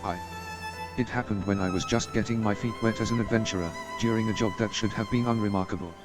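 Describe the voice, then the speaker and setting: Male actor as a shy young adventurer, self-doubting but earnest, lowish voice, over game music. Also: steady tone, fast talker, close to an everyday voice. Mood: hesitant. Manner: earnest, hesitant, self-reproaching. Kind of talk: game voice acting. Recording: studio voice with game music behind.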